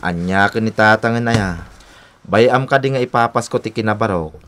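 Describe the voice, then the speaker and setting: An actor with a Filipino accent playing a carefree, playful young bachelor in a radio drama. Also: male voice, on the low side, normal speed, fairly bright tone, a little hiss or hum, close to an everyday voice. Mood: breezy. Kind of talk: Ilocano radio drama acting.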